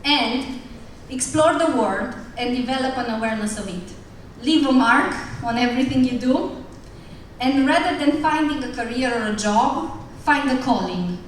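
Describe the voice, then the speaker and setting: A woman's voice: sits high, moderate pace. A Romanian woman teacher with a Romanian accent giving a direct, humorous graduation speech to her students. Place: large school hall. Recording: echoey hall from far off, some noise.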